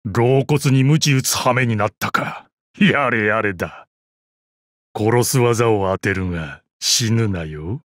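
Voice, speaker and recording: A man playing a calm, deadly old martial arts master and assassin in a video game, with a weathered, measured, wry delivery. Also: on the low side, no room sound, clean studio sound. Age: older. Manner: measured, wry, explosive battle cries. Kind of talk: video game battle voice lines.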